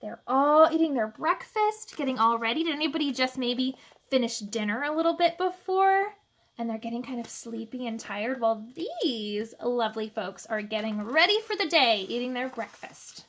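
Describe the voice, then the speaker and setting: A woman's voice: high-pitched, normal speed. A woman hosting a children's storytime, reading aloud expressively with chatty, encouraging asides. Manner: expressive, encouraging, asks the listeners questions. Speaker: storytime host reading picture books to children.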